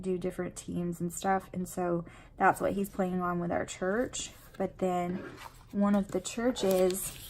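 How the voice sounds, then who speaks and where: A woman's voice: on the low side, a little mellow, a little hiss or hum. A sniffly woman with a runny nose, chatting in a rambling way while she decorates her planner at home. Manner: rambling and chatty, full of fillers. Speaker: planner vlogger and mom.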